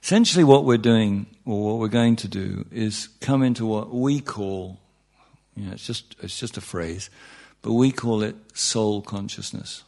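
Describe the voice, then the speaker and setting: A man's voice: fairly low pitch, a little slow, creaky, a little mellow. A man giving an informal, joking talk as a meditation teacher, often checking in with his listeners. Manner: conversational, joking, checks in with "yeah?" and "you know". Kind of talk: informal spiritual talk to a group.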